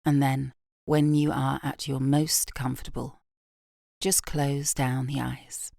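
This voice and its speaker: A British woman guiding a yoga nidra meditation in a soft, gentle, unhurried voice with long pauses, breathy at phrase ends. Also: a bass voice, little pitch movement, no echo, studio quality. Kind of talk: guided meditation, slow and soothing.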